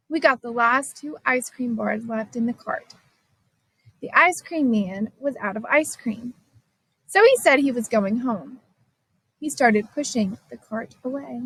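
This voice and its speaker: A woman reading a children's science story aloud as scripted narration, expressive and clearly phrased. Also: on the high side, no echo, low-quality recording. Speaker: storybook reader.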